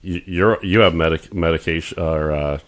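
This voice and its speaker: A man's voice: low voice, moderate pace. A middle-aged man co-hosting a podcast in casual chat, rambling with many restarts and acting out doctors as he retells them. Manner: rambling, repeats words and restarts, animated when retelling doctors. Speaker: podcast co-host.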